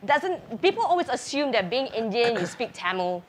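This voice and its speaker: A Singaporean woman with a Singaporean English accent who hosts the panel, asking questions brightly and stumbling over her words. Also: on the high side, big pitch swings, moderate pace, background noise. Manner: bubbly, stammering on her questions. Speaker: host. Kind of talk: casual panel moderation.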